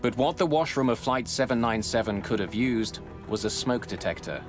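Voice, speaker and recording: A man giving scripted, dramatic documentary narration in a measured, grave way, close on a studio mic. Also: mid-range voice, expressive. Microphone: close studio voice-over mic. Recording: music bed under narration.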